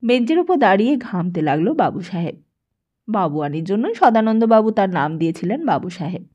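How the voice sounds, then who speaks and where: A woman audiobook narrator reads scripted fiction expressively at a middling pitch. The recording is dull and lo-fi. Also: big pitch swings, brisk, a little mellow. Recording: dull lo-fi sound.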